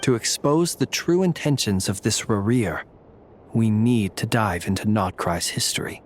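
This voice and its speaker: A man playing a calm, serious, methodical investigator in a game, speaking formally and with measured delivery. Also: on the low side, slight background noise, close to an everyday voice. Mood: calm, determined.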